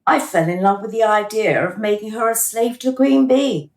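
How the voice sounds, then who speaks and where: An actress playing a cool, smug administrator of a dystopian afterlife in a radio drama, officious and mocking. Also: female voice, mid-range voice, fairly even intonation, normal speed, echoey room, lightly acted. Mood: sly, intimate.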